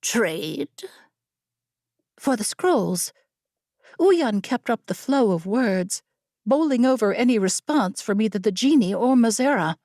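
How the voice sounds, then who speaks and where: A woman narrating a fantasy audiobook with measured, suspenseful, dramatic storytelling. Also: on the high side, lively intonation, mellow, no room sound, clean studio sound. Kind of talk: dramatic scripted fiction narration.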